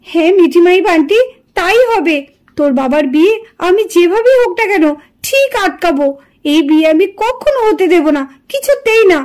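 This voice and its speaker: Woman as a high-pitched vengeful, scolding wife. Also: lively intonation, normal speed, darkish tone. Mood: resolute. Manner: insistent, scolding. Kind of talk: puppet doll cartoon dubbing.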